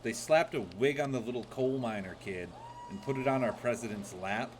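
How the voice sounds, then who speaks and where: A man live-streaming crude, loud, mocking reaction commentary from a home desk mic. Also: medium pitch, fairly quick, a little hiss or hum.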